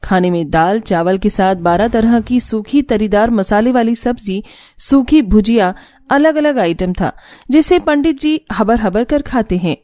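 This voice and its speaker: A woman's voice: fairly high pitch, animated, a little slow, mellow, dry recording. A woman narrator reading a scripted family cartoon story. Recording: music bed under the voice.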